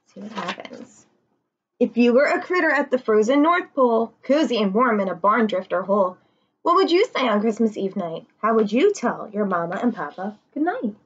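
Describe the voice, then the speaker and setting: A woman's voice: on the high side, sing-song, normal speed, slightly rough. A young woman reading a children's picture book aloud, expressively, putting questions to her young listeners. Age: young adult. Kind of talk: children's read-aloud with asides. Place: living room, indoors. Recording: slightly dull webcam sound.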